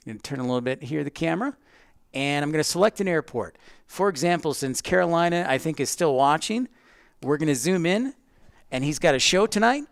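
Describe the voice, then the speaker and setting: A man's voice: on the high side, lively intonation, brisk, creaky, no echo, studio quality. A man hosting a livestream, casually explaining online tools step by step and chatting directly with viewers in an informal way. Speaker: livestream host teaching plane-spotting tools.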